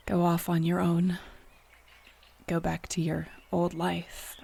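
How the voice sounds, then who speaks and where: Casting: actress as young female shifter Alpha, earnest and vulnerable, husky with a gravelly edge, intimate audio drama. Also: fairly low pitch, mellow, full character voice. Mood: sincere, restrained. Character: young shapeshifter woman, new clan Alpha, earnest, vulnerable, tender. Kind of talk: intimate audio roleplay acting addressed to the listener.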